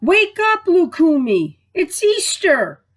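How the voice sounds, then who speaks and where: A woman's voice: very high-pitched, wildly swooping pitch, slow pace, voice pushed up, heavily acted. A woman voicing a caring, affectionate mother in a children's storybook. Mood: calling out, excited.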